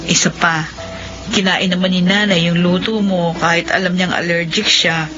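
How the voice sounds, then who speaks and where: An actress with a Filipino accent playing a gentle, consoling older sister in a soothing radio drama delivery. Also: female voice, fairly low pitch, fairly even intonation, moderate pace, natural voice. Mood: soothing, concerned. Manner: soothing, reassuring. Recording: muffled radio drama audio, music bed.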